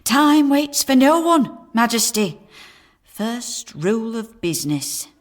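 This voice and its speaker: A woman's voice: on the high side, very animated, slow pace. An actress playing a blunt, scornful older weaver woman in an audio comedy, folksy and wry. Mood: scornful, brisk. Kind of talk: audio comedy drama acting.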